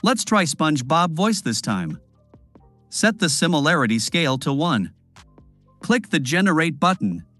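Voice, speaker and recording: A man giving step-by-step instructions in a screen-recorded software tutorial voice-over. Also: a little high, measured pace, faint music behind. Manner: instructive, lively intonation.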